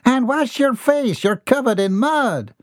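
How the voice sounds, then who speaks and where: Man doing a scolding mother, very high, sharp, bossy, dry close sound. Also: expressive, measured pace, no echo. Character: woman, stern hen-pecking mother.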